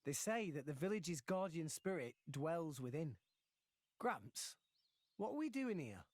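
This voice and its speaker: A man's voice: a little high, big pitch swings, unhurried, a little mellow, dry recording, studio quality. A man playing an earnest, curious teenage boy in a video game, questioning in a puzzled way.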